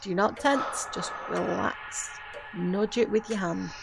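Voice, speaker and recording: A woman's voice: medium pitch, vocal fry, noisy background. A woman playing an earnest, grieving but insistent witch who mentors a novice in an audio drama, speaking formally and instructively. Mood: gentle, coaxing. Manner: measured, instructive, formal phrasing. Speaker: voice actor in an amateur audio drama.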